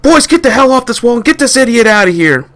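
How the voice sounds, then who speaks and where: A man voicing an angry male army captain who shouts and barks orders at a soldier. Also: very high voice, very animated, quick pace, voice pushed up, heavily acted. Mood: furious.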